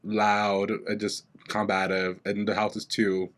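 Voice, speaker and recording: A man's voice: on the low side, monotone, measured pace, a bit bright. A young man co-hosting a podcast, chatting casually and laughing often as he recaps. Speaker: podcast co-host. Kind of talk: casual chat.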